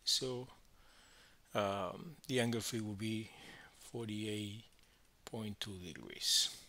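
A man teaching physics, explaining a worked problem step by step in halting phrases with frequent verbal checks. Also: fairly low pitch, sharp tone.

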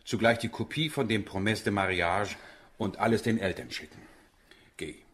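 An actor playing a hot-tempered, gruff middle-aged father in a German radio drama. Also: lowish voice, moderate pace, fairly bright tone, slight background noise, lightly acted. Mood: scolding. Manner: gruff, scolding.

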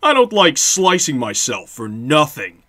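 A man puts on a crude, cocky anime tough guy. He is loud, blunt and threatening, with a forceful edge. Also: on the high side, sing-song, a little slow, heavily acted. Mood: cocky menace.

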